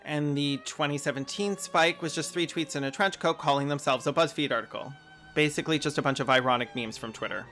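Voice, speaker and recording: A man's voice: a little high, brisk, pushed and bright, soft background music. A man hosting a video essay, mixing sarcastic, mocking asides with plain argument.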